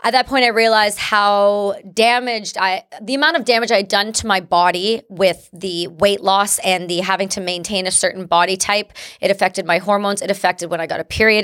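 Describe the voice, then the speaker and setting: A woman's voice: medium pitch, fairly bright tone. A Canadian woman in her thirties, a guest on a talk show, telling her own story in a blunt, vivid and emphatic way. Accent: Canadian English. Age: 30s. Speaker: guest, military veteran. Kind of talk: personal storytelling in conversation.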